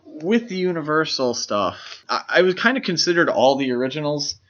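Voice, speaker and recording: A man's voice: fairly high pitch, animated, normal speed, a bit bright, lo-fi sound. A man co-hosting a podcast, talking casually about films. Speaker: podcast co-host. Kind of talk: casual film discussion.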